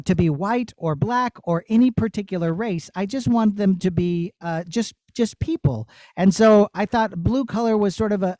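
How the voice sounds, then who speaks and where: Male puppeteer-teacher, high voice, casual and encouraging with children, close dry recording. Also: dark tone, no room sound. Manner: encouraging, conversational, checking in with listeners. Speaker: puppeteer giving a master class. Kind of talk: casual explanation and demonstration for children.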